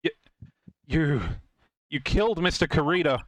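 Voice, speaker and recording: A man voicing an earnest, shaken defense lawyer in a game fan dub, halting, dramatic and indignant. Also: on the high side, no room sound, natural voice. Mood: shocked, indignant. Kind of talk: live fan dub of a visual novel game.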